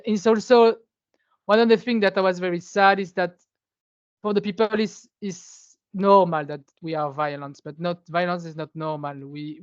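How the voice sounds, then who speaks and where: A woman's voice: fairly low pitch, dry recording. A woman who is a local politician, searching for words as she gives her testimony in a webinar. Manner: searching for words, non-native English. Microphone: video call. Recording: dry, dull video call audio.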